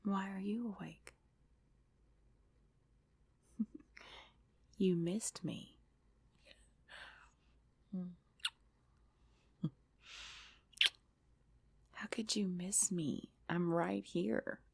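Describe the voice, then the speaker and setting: A woman playing an affectionate, sleepy girlfriend in an ASMR roleplay, whispering and murmuring softly with a breathy voice. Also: lowish voice, very slow, long pauses, full character voice. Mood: tender, teasing.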